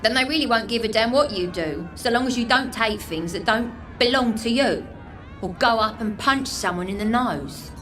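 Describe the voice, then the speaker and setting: A woman's voice: a little high, normal speed, fairly bright tone, natural voice. An actress playing a scornful, streetwise homeless woman in a video game, with an English accent and a blunt, mocking manner. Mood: blunt, wry. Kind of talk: video game character acting.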